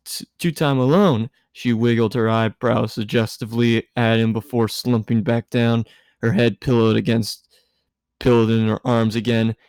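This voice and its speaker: A man narrating fan fiction aloud in a halting way, stumbling over words as he reads. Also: medium pitch, monotone, a little mellow, smooth, no room sound. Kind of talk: story narration read aloud.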